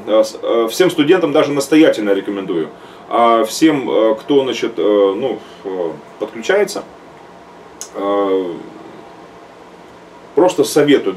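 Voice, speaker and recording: Halting middle-aged man teaching, distant mic. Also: mid-range voice, animated, darkish tone. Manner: hesitant, many drawn-out "uh" fillers. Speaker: archbishop. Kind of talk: teaching seminar. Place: small room at home. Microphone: webcam at desk. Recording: distant mic, faint room noise.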